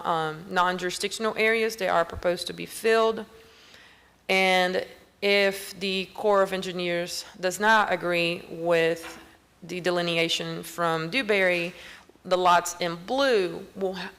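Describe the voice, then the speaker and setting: A woman's voice: on the low side, a bit bright. A woman on county planning staff, formally reading a staff report at a public meeting while losing her voice. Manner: formal, reads steadily with small restarts and clears her throat.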